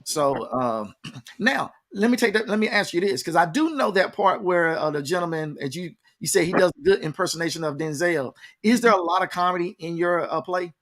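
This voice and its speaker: A man's voice: high voice, expressive, moderate pace, fairly bright tone. A man working as an interviewer, asking casual questions with hesitant, repeated phrasing.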